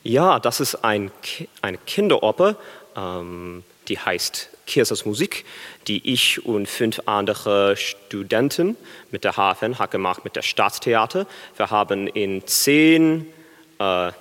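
A man's voice: lowish voice, expressive, fairly bright tone, long reverb tail. American man, hesitant learner's German. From USA. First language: English. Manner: hesitant, halting German with many fillers. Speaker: baritone music student. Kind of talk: live stage interview.

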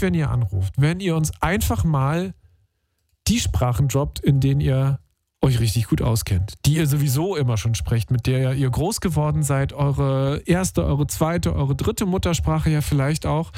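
A man's voice: fairly high pitch, a little mellow, dry recording. Upbeat slangy male radio host. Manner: upbeat, slangy, chatty with filler sounds. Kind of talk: casual call-in radio talk. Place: radio studio. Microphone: studio microphone.

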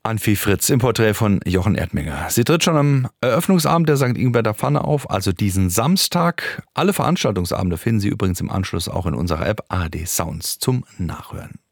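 A male radio presenter introduces a culture segment in a smooth, relaxed announcer manner. His low voice has a gravelly, creaky edge, and the studio sound is dry and close. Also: a little fast, few pauses, no room sound.

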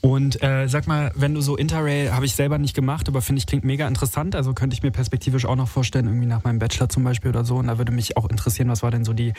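Mellow young German man chatting casually. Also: mid-range voice, little pitch movement, rapid-fire, few pauses, slight background noise. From Berlin, Germany. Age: 20s. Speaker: interviewer leading a conversation. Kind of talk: casual interview chat.

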